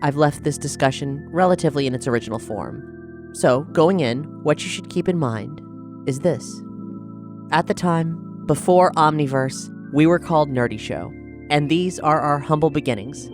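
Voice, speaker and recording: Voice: medium pitch, moderate pace. Scripted podcast narrator over prominent music. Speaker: podcast host introducing an episode. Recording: music bed behind the voice.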